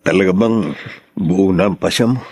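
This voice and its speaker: A man's voice: deep voice, normal speed, darkish tone, husky, no echo. An actor playing a kind, generous male friend in a Filipino radio drama. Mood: concerned, kind.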